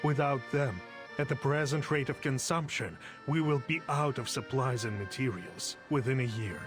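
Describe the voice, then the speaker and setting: A man's voice: mid-range voice, moderate pace, natural voice. A man voicing a grave official in a game drama, speaking formally. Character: grave male official warning of scarce supplies. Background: game music.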